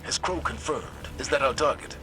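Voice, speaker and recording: A man playing a resolute, authoritative military leader in a video game, measured and commanding. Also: mid-range voice, noisy background, close to an everyday voice. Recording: loud game music and machinery behind.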